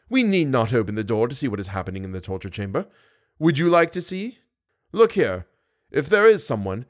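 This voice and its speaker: Man as a male monster, menacing, mocking, possessive, demanding, gothic storybook villain, animated pitch. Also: natural voice. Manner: taunting, demanding.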